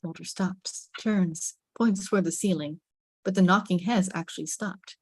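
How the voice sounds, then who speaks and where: A woman narrates a screenplay at a table read in a slightly low voice. The sound is dry and close, and she phrases the directions with suspense and emphasis. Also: steady tone, moderate pace, slightly rough, no echo. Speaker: narrator reading stage directions.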